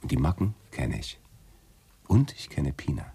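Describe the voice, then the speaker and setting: An actor playing a slick, bitter gambler and cocky schemer in a hard-boiled radio drama, with a casual, insinuating manner. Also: male voice, extremely low pitch, big pitch swings, normal speed, creaky, dark tone, breathy edge, heavily acted. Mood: scheming, bitter.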